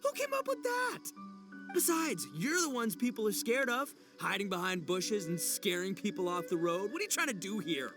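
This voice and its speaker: A man's voice: high voice, sing-song, normal speed, over music. A man voicing a brash, boastful but good-hearted young oni in a video game, goofy and slangy. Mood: indignant.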